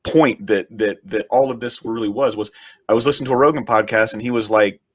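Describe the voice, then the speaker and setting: A man, a podcast guest who is also a podcast host, talking in rambling, enthusiastic casual chat, his voice raspy from shouting. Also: lowish voice, fairly quick, dry recording, studio quality. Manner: rambling, enthusiastic, frequent swearing.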